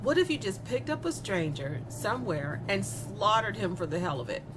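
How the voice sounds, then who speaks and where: Woman acting a flippant, gleeful young killer, animated. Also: mid-range voice, lightly acted, rough recording. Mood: smug, taunting. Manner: conversational, flippant, giggly, with drawn-out emphasis. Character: gleeful remorseless young female serial killer, vain and chatty. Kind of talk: dramatic first-person monologue in a horror audio story. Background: music.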